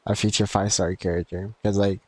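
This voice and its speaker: A man's voice: low-pitched, level tone, quick pace, darkish tone, no echo. A man giving casual live gaming commentary as a streamer, rambling and thinking aloud. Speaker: game streamer.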